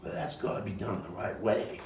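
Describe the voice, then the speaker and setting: An actor playing a weary, foul-mouthed, combative neighborhood philosopher in a halting, emphatic stage monologue, his voice gravelly. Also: fairly low pitch, close to an everyday voice. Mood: resigned, grave. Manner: rambling, profane, halting, emphatic street talk. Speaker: playwright and actor. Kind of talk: theatrical monologue at a table read. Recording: distant mic, roomy echo, faint noise.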